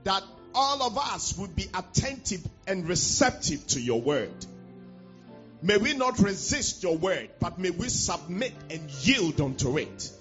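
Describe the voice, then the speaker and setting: Nasal high-voiced preacher man. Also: very animated, a little slow, a bit bright. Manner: fervent, call-and-response pacing. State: nasal from a cold. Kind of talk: sermon with prayer and scripture reading. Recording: dull lo-fi church recording with faint music.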